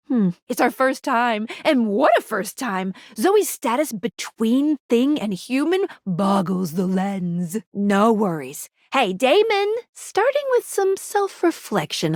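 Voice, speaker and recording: Perky young female video game helper: high-pitched, very animated delivery, playful, encouraging, quirky. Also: no echo, clean studio sound. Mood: quirky, musing, then excited, encouraging. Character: chipper, helpful young female magical guide, quirky and encouraging. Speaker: voice actor playing a guide character in a dating simulator game.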